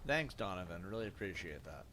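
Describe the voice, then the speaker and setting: A man's voice: deep voice, fairly quick. A man in his thirties from the United States, chatting casually while streaming a game, rambling with lots of fillers and self-corrections. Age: 30s. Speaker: gaming streamer. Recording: steady background noise.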